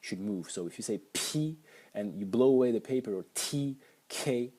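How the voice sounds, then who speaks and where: A man's voice: medium pitch, expressive, normal speed, warm tone. A young man with a Slovak accent, a native Slovak speaker, explaining sounds in a casual lesson with frequent hesitations. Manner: hesitant, with many ums and restarts. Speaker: language teacher.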